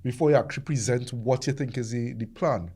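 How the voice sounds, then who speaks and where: A man on a discussion panel who speaks reflectively, thinking through his own decision-making out loud. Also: medium pitch, brisk, slightly rough.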